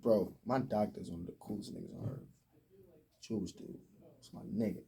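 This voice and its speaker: A man's voice: lowish voice, normal speed, creaky, warm tone, slight background noise. An American man in his twenties talking casually and emphatically in slang on a podcast, picked up slightly from a distance. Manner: talkative, emphatic, slang-heavy.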